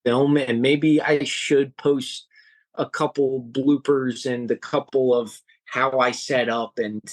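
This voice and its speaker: A young man talks as an interview guest, earnest and a bit halting, often restarting his sentences. His voice sits at an ordinary middle pitch. The sound is dry with no room echo. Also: steady tone. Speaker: guest, home cook and hiker. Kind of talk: casual interview chat.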